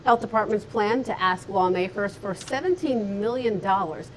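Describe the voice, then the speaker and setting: A woman's voice: medium pitch, normal speed, a little hiss or hum. A woman co-anchoring a local television newscast, reading scripted stories. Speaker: news anchor.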